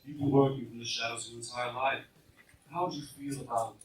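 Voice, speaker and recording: A man's voice: medium pitch, flat delivery, a little fast, roomy sound, natural voice. A man playing a shadowy, scheming villain in a formal, theatrical radio play style, recorded from a distance in a live room. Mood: smug, commanding. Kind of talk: fan radio play acting before an audience.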